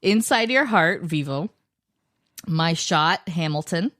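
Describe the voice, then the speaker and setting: A woman's voice: lowish voice, expressive, slow, fairly bright tone, no echo, clean studio sound. A woman in her thirties co-hosting a podcast, teasing her partner and laughing often in casual chat. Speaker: podcast co-host.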